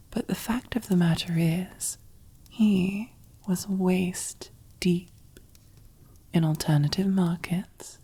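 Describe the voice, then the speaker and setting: A woman playing a commanding, possessive, silkily menacing mob boss in an ASMR roleplay, with a breathy, husky near-whisper and slow, teasing, condescending delivery. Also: lowish voice, warm tone, full character voice. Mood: smug, measured. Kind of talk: ASMR roleplay, close whispered character acting.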